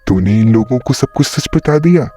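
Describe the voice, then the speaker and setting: A man voicing a cruel, gloating cartoon witch who taunts in drawn-out words. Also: mid-range voice, expressive, lightly acted. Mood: gloating menace. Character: menacing child-snatching witch, cruel and gloating. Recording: dull lo-fi sound, music behind.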